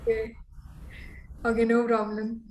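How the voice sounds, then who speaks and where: An Indian-accented woman hosts a casual group video call. Her voice sits on the high side and moves very little in pitch. There is some noise on the line. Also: completely flat delivery, a little slow. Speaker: meeting host. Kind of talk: casual online meeting talk. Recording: noisy video call audio.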